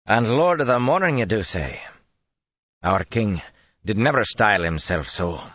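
A wary backwoods bowman answers cautiously in rustic grammar, as a male narrator puts him on. His voice sits higher than the narration. The recording is dry and slightly dull. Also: lively intonation, extremely slow, sharp tone, no echo, heavily acted. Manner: wary, rural dialect grammar. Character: wary rustic bowman, man, cornered and defiant.

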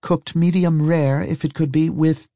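Man voicing a guarded, higher-pitched woman traveler. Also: fairly even intonation, a little slow, dark tone, no echo, clean studio sound. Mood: brisk, polite. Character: guarded, dry-witted woman traveler, polite and brisk.

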